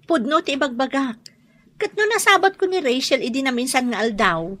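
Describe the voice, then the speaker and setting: A woman's voice: sits high, lively intonation, brisk, bright, forceful tone, full character voice. An actress playing a chatty, teasing young woman in a radio drama, with an Ilocano accent.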